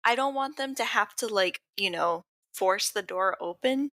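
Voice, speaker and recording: A woman's voice: mid-range voice, normal speed, a bit bright, no room sound, clean studio sound. A woman playing a tabletop game, laying out her character's plans. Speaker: player. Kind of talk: casual tabletop play.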